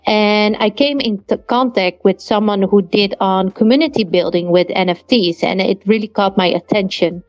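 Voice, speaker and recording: A woman in her thirties with a Dutch accent, introducing her project as a guest on an online panel in an earnest, steady way. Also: medium pitch, normal speed, few pauses, no echo. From Netherlands. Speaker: guest, NFT project founder. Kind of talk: casual online panel talk. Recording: dull lo-fi online audio stream.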